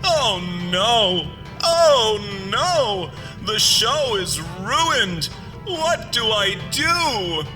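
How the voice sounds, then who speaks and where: A man's voice: very high voice, big pitch swings, extremely slow, heavily acted. A man playing an alien monster who acts as an over-cheerful, demented host of a children's radio show, in a theatrical sing-song delivery. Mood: panicked. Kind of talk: fiction podcast acting, parody kids' radio show. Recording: long reverb, loud music behind.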